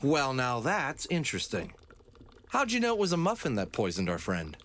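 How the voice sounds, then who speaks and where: A man's voice: medium pitch, wildly swooping pitch, normal speed, background noise. A man playing a wry, exasperated young gang leader with dry sarcasm in a comic audio drama. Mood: coolly determined. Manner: hesitant asides, dry sarcasm.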